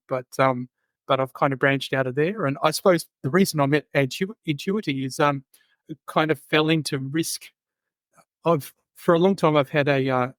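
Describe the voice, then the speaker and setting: A middle-aged man with an Australian accent talks through his work as a podcast guest. He restarts phrases and repeats words while he thinks, at a medium pitch. The sound is close and dry, with no room echo. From born in England, raised in Australia and New Zealand. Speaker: guest, safety specialist. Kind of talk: conversational podcast interview.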